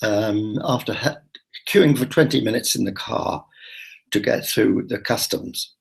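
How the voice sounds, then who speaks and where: A man's voice: lowish voice, unhurried, gravelly, breathy edge, low-quality recording. A man telling a rambling, confiding travel-diary story, full of restarts and asides. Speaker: travel vlogger.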